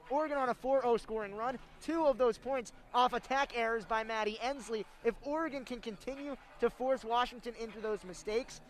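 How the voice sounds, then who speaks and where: A young woman giving live color analysis during a radio broadcast of a volleyball match. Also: fairly high pitch. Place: sports arena broadcast.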